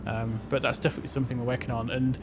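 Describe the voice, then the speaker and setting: A man casually explaining technical details as a game developer, in a halting, filler-heavy way. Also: level tone. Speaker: game developer answering questions. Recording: loud vehicle rumble and game noise behind.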